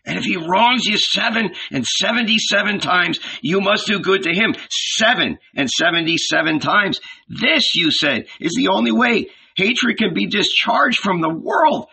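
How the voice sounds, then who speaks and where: A man voicing a gentle, earnest young male disciple who questions and pleads in a storybook reading. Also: sits high, animated, unhurried, vocal fry, hardly any pauses, slightly rough, voice pushed up, heavily acted. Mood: troubled, questioning.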